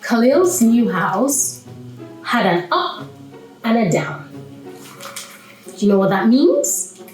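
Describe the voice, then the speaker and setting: Slow, high-voiced woman storyteller for children. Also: very animated, darkish tone. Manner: playful, asks questions and answers them for young listeners. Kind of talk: singing nursery rhymes and talking to young children at a read-aloud. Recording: roomy echo with music.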